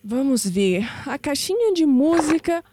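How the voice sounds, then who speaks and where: An actress playing a curious, headstrong young granddaughter in an audio drama, expressive and with a Brazilian accent. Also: female voice, high-pitched, measured pace, no room sound. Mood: curious, musing. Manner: expressive, thinking aloud.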